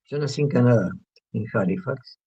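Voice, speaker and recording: Gravelly-voiced Argentine man, hesitant. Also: medium pitch, moderate pace, mellow. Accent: Argentine Spanish. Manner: hesitant, many fillers and restarts. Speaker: guest artist. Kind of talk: relaxed interview talk. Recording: muffled lo-fi audio.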